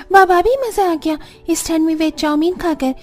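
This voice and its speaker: A woman's voice: very high voice, expressive, a little slow, darkish tone. A woman voicing a chatty, frank and teasing young in-law in a family cartoon. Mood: delighted. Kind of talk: Hindi family cartoon acting.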